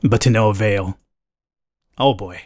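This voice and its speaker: A man's voice: fairly low pitch, measured pace, darkish tone. A man reading game narration aloud in a dramatic storytelling way, as a let's play gamer.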